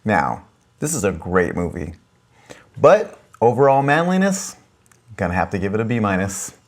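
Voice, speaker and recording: A man's voice: fairly low pitch, big pitch swings, moderate pace. A man co-hosting a casual podcast who teases his partner and keeps his remarks brief. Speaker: podcast co-host.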